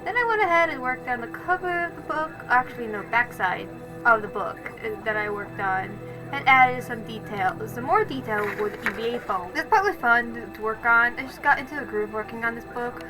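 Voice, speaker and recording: A woman's voice: fairly high pitch, animated, normal speed, poor audio. A woman doing casual voice-over narration for a craft video, rambling and correcting herself as she goes. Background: music.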